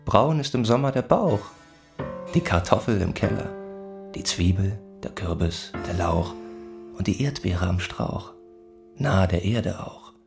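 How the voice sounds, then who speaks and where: A solemn rural villager recites sayings in a radio play. His voice is on the low side and very unhurried, with plucked guitar music clearly behind it. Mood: solemn, measured. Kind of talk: scripted radio play, slow poetic recitation.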